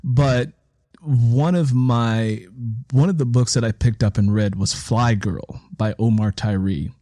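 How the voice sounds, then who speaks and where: A man's voice: mid-range voice, measured pace, mellow, no echo. A man with an American accent hosting a conversational interview and sharing his own anecdotes. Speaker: interview show host and author.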